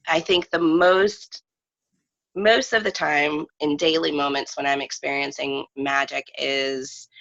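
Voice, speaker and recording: Low, bright-voiced woman in an echo-free, dull recording. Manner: hesitant, thoughtful, searching for words. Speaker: interview guest. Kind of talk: casual reflective conversation. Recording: slightly dull, echoey room audio.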